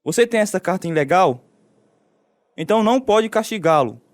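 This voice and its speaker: Stern, blunt prison commander. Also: male voice, high-pitched, lively intonation, normal speed, no echo. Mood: angry, commanding. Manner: authoritative, blunt. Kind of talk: radio drama acting.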